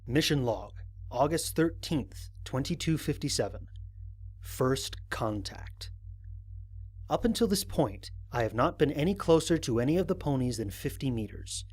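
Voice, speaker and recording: A man playing an analytical, dryly wry explorer recording a mission log in an audio drama, in formal, precise, measured diction. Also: mid-range voice, lively intonation, a little mellow, slightly rough. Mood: measured, intrigued. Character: analytical, dryly wry human explorer logging first contact.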